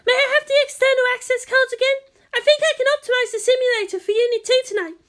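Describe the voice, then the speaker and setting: A woman's voice: very high voice, steady tone, quick pace, fairly bright tone, voice pushed up. A woman voicing an eager, shy young female tech assistant in an anime story, breathless and deferential. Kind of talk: anime fan fiction character voice.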